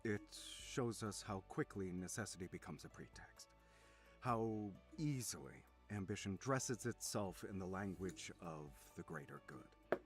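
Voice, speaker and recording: Severe lecturer, low voice, deliberate formal delivery, controlled, visual novel drama. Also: male voice, expressive, music in the background. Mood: grave. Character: composed male lecturer, measured and severe about ethics. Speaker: voice actor playing a lecturer.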